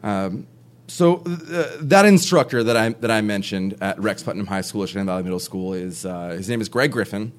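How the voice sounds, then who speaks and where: A man's voice: fairly low pitch, a little fast. A young man from Oregon telling a personal story to a live audience, nervous, stammering and restarting. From Oregon, USA. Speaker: storyteller on stage.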